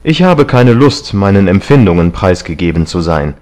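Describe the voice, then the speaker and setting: A man's voice: lowish voice, sing-song, unhurried, a little mellow. A man voicing a callous young dandy in an audiobook novel, haughty, emphatic and defiant. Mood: indignant, defiant.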